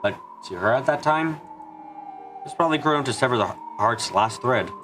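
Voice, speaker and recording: A man giving an earnest amateur dramatic reading of a visual novel, halting for dramatic pauses. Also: mid-range voice, lively intonation. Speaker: amateur fan dubber. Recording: music behind the voice. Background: background music.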